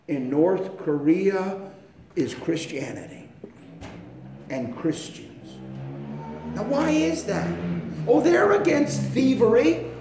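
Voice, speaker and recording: Man, preacher, sermon, very slow delivery, hushed to fervent, roomy echo. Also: sits high. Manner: impassioned, swings from hushed to loud. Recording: echoey room with faint noise.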